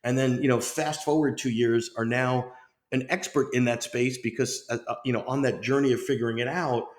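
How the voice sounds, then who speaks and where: A man's voice: mid-range voice, steady tone, fairly quick. A man hosting a podcast, who rambles and restarts his sentences a lot. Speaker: podcast host. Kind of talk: podcast interview. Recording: roomy echo.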